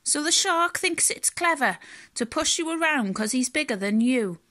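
A curious, quick-witted squid in a children's storybook, voiced by a woman. The voice is bright and fairly high. It sounds calm and in command, unhurried in its words. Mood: confident, scheming. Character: clever squid, curious, calm, takes charge. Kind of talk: bedtime storybook character voice.

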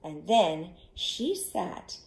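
Middle-aged woman, slightly low voice, children's storytime teacher, expressive and unhurried, roomy home sound. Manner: expressive, instructive, asks listeners to join in. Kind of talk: interactive storytelling to young children. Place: small living room, indoors. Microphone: camera a short distance away. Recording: echoey living room.

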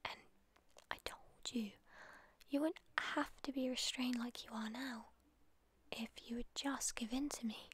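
A British woman playing an obsessive, lovesick captor in an intimate whispered ASMR roleplay, breathy, cooing and emotionally fragile. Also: on the high side. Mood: doting, possessive. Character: obsessive lovesick female captor, tender, volatile, doting on captive.

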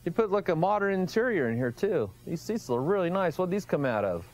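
A middle-aged man hosting a TV show, chatting casually and asking quick, upbeat questions while walking through a large cluttered garage workshop. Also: high-pitched, very animated, darkish tone. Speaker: TV show host. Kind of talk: casual walk-through interview.

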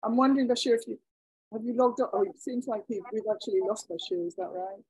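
A woman teacher calmly moderating an online class on a video call. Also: fairly high pitch, fast talker, breathy edge, no echo, rough recording.

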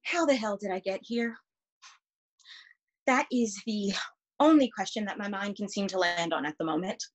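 A young woman performing a dramatic monologue as an anxious, bewildered girl, pausing deliberately mid-sentence. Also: medium pitch, highs cut off, lightly acted. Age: young adult. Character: anxious, bewildered girl alone in a stranger's room. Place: small room indoors at home. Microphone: webcam at arm's length.

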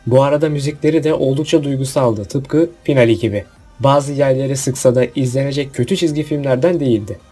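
A man's voice: medium pitch, fairly quick, dark tone, soft background music. A man hosting a casual list video, giving opinionated commentary with jokey asides and rhetorical questions. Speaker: video host.

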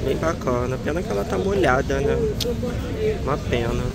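A man vlogging casually from a busy outdoor flea market, excited and chatty, showing collectibles. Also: mid-range voice, normal speed, vocal fry. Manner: excited, chatty, often says "né" and "ó". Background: loud crowd.

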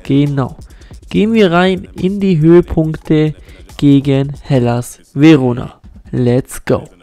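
A man's voice: on the high side, slow, warm tone, faint music behind. A man with a cold and a blocked nose, commenting on a football video game he is playing, recorded on a USB condenser mic at home. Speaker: gaming YouTuber.

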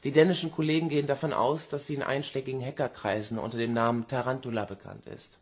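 A man's voice: medium pitch, fairly even intonation, fast talker, lightly acted. An actor playing a male police investigator in a German radio crime drama, factual and businesslike. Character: matter-of-fact police investigator briefing a colleague.

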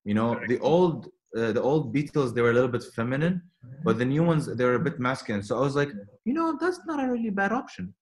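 A man's voice: medium pitch, quick pace. Chatty young man on a video call. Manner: rambling, animated, many restarts. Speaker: car content creator guest. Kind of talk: casual chat on a video call.

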